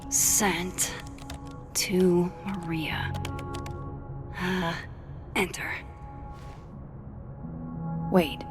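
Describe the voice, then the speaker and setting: A woman playing a wry, inquisitive young amateur sleuth in a video game, musing quietly to herself. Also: medium pitch. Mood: musing, investigating. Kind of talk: naturalistic video game voice acting.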